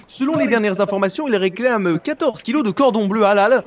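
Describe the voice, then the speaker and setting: A man's voice: high-pitched, lively intonation, brisk. A man playing an earnest TV news anchor in a prank call, insistent and repetitive, stumbling over his words. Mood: urgent. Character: earnest male TV news anchor on live breaking news. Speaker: prank caller. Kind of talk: mock live news reporting.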